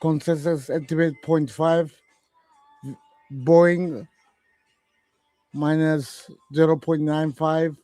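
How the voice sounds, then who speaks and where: A man in his forties hosting live trading radio, reading out earnings numbers slowly and haltingly. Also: on the high side, flat delivery, mellow, no echo, soft background music. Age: 40s. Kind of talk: live market commentary.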